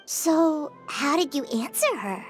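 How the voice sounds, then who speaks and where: A woman voicing a tiny, childlike, curious and caring companion character in a video game, with a squeaky voice. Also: animated, slow, faint music behind.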